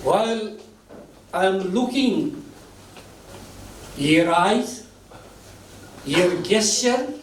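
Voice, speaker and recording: Man, choked up thanking everyone, slow pauses, roomy hall sound w faint hum. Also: expressive, distant mic. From Nepal. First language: Nepal Bhasha. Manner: slow halting delivery in short phrases, deeply moved. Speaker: author giving thanks at a book launch. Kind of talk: emotional formal speech. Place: event hall.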